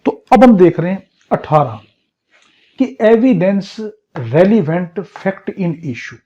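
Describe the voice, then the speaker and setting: A man's voice: high voice, big pitch swings, takes it slowly, warm tone. A man with a Pakistani accent lecturing as a law teacher in an exam crash course, drilling points by repetition and rhetorical questions. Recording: dull, lo-fi muffled audio.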